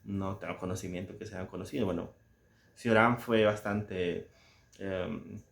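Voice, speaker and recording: A man from El Salvador with a Salvadoran Spanish accent, talking casually and reflectively about a book he loves, searching for words with soft chuckles. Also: sits low, steady tone, measured pace, vocal fry, roomy sound. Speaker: book reviewer. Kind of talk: casual spoken book commentary.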